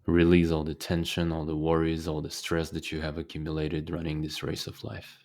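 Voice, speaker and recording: A man leading a guided meditation as a teacher, speaking calmly and deliberately with frequent pauses. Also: extremely low pitch.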